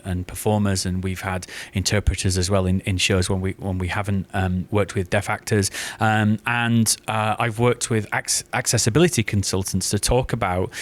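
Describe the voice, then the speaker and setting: A man's voice: deep voice, level tone, normal speed. A man talking earnestly and casually as an interview guest, often repeating words as he forms his thoughts. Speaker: guest, theatre maker and writer.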